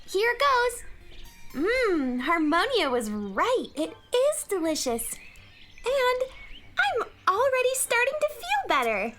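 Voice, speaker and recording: A woman's voice: very high voice, wildly swooping pitch, slow, slight background noise, exaggerated voice. A woman playing a plucky, eager young girl space adventurer in a children's radio play, earnest and exclamatory. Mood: delighted, eager. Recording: loud music behind voice.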